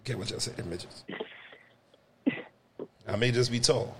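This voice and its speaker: A man hosting a podcast, joking crudely and loudly, swinging his voice high when he riffs. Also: moderate pace, creaky, noisy background. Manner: loud, profane, joking, swings pitch high when riffing. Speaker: podcast host. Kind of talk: crude comedic banter.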